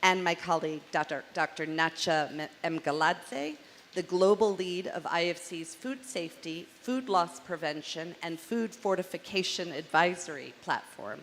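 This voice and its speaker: Woman, panel moderator, loud and chatty, slightly low voice, faint room hum. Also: a little slow. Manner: loud, chatty, jokes between scripted introductions. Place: large conference hall. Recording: hall reverb, faint room noise.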